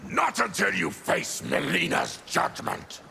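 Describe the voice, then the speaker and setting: An actor voicing a fierce, vengeful mutant warrior in a video game, with a gravelly growl and a menacing, deliberate delivery. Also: male voice, sits high, huge pitch swings, sharp tone, heavily acted. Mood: accusing, menacing. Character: fierce diseased mutant Tarkatan warrior, ex-soldier, vengeful and proud. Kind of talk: video game character dialogue.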